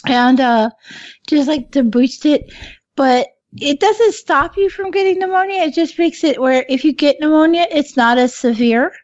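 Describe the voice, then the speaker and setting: A hoarse woman co-hosting a podcast in casual chat, laughing often and swearing freely. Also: high voice, lively intonation, normal speed, a little mellow, dry recording, dull audio. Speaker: podcast co-host.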